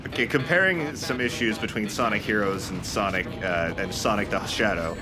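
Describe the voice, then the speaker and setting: A man's voice: fairly low pitch, normal speed. A man giving casual, rambling and enthusiastic gaming commentary among friends. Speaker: let's play host. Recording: voice over loud game music. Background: game music and sound effects.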